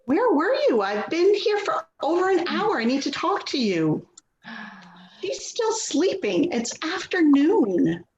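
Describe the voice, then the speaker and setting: A woman's voice: sits high, sing-song, slow, lo-fi sound, slight background noise, heavily acted. A woman playing an anxious, hurt grown daughter in a dramatic play reading. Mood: impatient.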